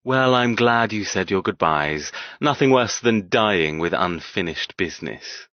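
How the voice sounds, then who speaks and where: A man's voice: fairly low pitch, animated, a little slow, no echo, lo-fi sound. An actor playing a cold, cruel, arrogant young man in an audiobook, sardonic and controlled in manner. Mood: sardonic, mocking. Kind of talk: audiobook character dialogue.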